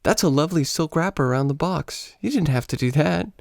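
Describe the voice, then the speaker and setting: A man's voice: fairly high pitch, brisk, vocal fry, dry recording, clean studio sound. A man acting a hesitant, apologetic American man. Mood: polite, casual. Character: polite, awkward young American teacher abroad. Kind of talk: radio play acting.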